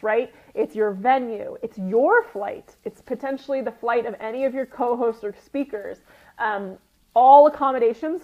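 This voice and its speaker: Sniffly woman coaching, dull lo-fi audio. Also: medium pitch. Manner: conversational teacher, often checking in with "right?" and "okay?". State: sniffly with a runny nose. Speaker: retreat business coach and group host. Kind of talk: informal live coaching talk.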